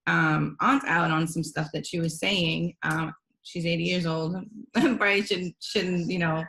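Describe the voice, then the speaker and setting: A woman's voice: on the low side, fairly even intonation, measured pace, vocal fry, a bit bright. A woman of Dominican and Puerto Rican family speaking conversationally on a panel, with frequent fillers and restarts. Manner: conversational, hesitant, many fillers. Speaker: panel member. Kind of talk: casual video-call discussion.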